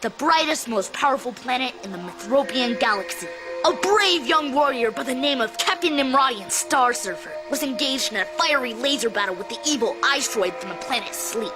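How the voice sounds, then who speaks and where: A woman voicing an excitable young alien schoolboy in a cartoon, boastful and theatrical in delivery. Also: high-pitched. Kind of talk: animated cartoon acting.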